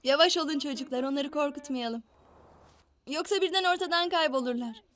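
A woman playing a gentle grown-up guide who coaxes young children along in a children's show dub. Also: high voice, brisk. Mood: gently cautioning. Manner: gentle, coaxing.

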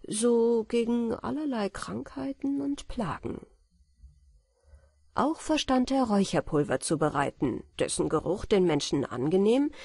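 A woman with speech reads a fairy tale as an audiobook narrator, in a soft, measured and expressive storytelling manner. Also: medium pitch, dry recording, studio quality. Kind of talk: scripted fairy tale narration for bedtime listening.

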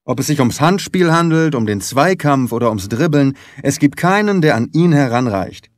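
A man's voice: mid-range voice, expressive, moderate pace, dry recording, studio quality, natural voice. A man voicing a huge, distraught young rugby player in an audiobook, talking with urgent, earnest emphasis. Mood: agitated, earnest.

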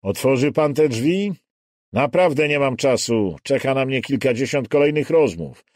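A man voicing a tired, low, gruff male intruder who poses as a policeman in a thriller audiobook. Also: moderate pace, no room sound, clean studio sound, natural voice. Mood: impatient. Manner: insistent, tired, calmly threatening. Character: low, weary, rough-voiced male intruder posing as policeman, menacing.

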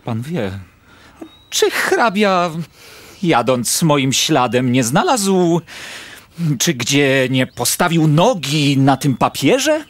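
A man's voice: a little high, very animated, extremely slow, heavily acted. An actor playing a proud, tormented nobleman in a theatrical verse radio drama, grave and brooding. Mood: tense.

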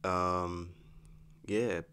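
A man acting a weary, easygoing werewolf boyfriend in an ASMR audio roleplay, speaking in a halting, casual, understated way with dry affection. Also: low-pitched, little pitch movement, bright, forceful tone, full character voice. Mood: relaxed, curious. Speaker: roleplay voice actor. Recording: clearly audible background hum.

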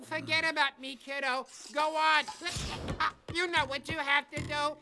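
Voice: high-pitched, level tone, a little slow, long reverb tail, music in the background. An actor voicing a wisecracking, cowardly cartoon scorpion sidekick, folksy, slangy and exclamatory. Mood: woeful. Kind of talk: comic cartoon acting.

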